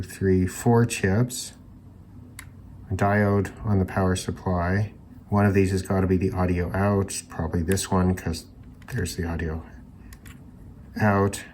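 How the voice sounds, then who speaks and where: A man hosting an electronics teardown video, talking casually and unscripted, haltingly thinking aloud as he examines parts. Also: low voice, flat delivery, a little mellow, slight background noise. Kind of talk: casual unscripted hands-on demonstration talk.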